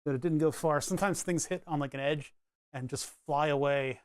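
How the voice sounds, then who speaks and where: A man's voice: on the high side, fairly even intonation, a little fast, clear, resonant tone, no echo. Man, casual stream chat, rambling, talking to chat while he works, dry close sound. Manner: rambling and chatty, answers chat, breaks into laughs. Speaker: electronics streamer.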